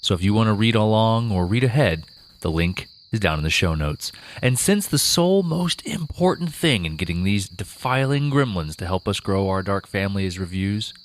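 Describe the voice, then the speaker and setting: Guy doing a podcast intro plug, fairly low voice, faint crickets in the background. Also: animated, moderate pace, a little hiss or hum. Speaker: podcast host. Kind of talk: scripted show announcement.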